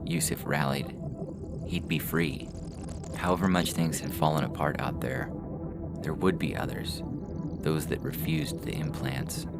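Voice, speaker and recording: Casting: male narrator-host, extremely low voice, scripted podcast read over a very prominent music bed. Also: fairly even intonation, a little slow, vocal fry, long pauses. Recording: loud music under the voice.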